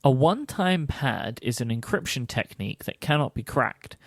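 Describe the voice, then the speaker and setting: Expressive, slightly creaky male co-host. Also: medium pitch, moderate pace, dry recording, clean studio sound. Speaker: podcast co-host. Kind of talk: scripted explainer with teasing banter.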